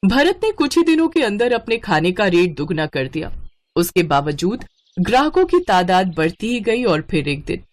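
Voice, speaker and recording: A woman's voice: medium pitch, very animated, moderate pace. A woman reading a scripted moral story as its narrator.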